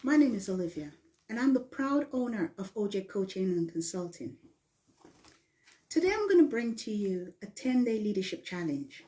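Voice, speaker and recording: A middle-aged woman from Guyana who has lived in the UK, presenting a coaching talk in deliberate phrases, repeating words for emphasis. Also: mid-range voice, very animated, warm tone. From Guyana, later living in the UK. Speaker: leadership coach presenting a challenge. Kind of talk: live online coaching talk from slides.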